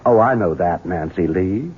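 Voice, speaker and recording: On a narrow, hissy old radio recording, a scheming Southern gentleman speaks in syrupy, coaxing tones. His low voice swings widely as he wheedles and manages people in radio-play fashion. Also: lively intonation, moderate pace, husky, exaggerated voice. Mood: coaxing, ingratiating. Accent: Southern American. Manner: coaxing, hesitant, syrupy endearments. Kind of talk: radio drama acting.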